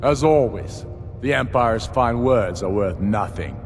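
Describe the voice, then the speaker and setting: An actor playing a proud, defiant rebel warlord in a fantasy game, haughty and forceful. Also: male voice, fairly low pitch, animated, unhurried, long reverb tail, faint music behind. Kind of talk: dramatic fantasy game dialogue.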